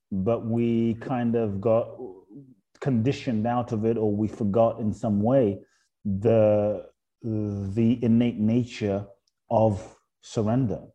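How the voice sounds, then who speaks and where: A middle-aged man from Ghana, a guest author speaking in a thoughtful, halting way about spiritual ideas on a podcast. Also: on the low side, steady tone, warm tone, breathy edge, dull audio. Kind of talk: reflective podcast conversation.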